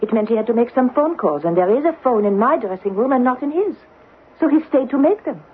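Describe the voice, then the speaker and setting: A woman playing a wistful, theatrical stage actress haunted by foreboding, in old-time radio drama style with halting, dramatic delivery. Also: fairly high pitch, animated, husky. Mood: guarded, composed. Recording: narrow-band old radio broadcast, faint music.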